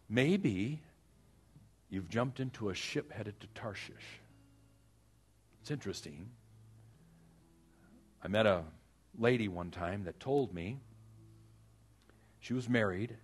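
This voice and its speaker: A male pastor with a husky, fairly low voice delivers a sermon. He is earnest and exhorting, leaning on repeated phrases and dramatic pauses as he moves between personal stories and prayer.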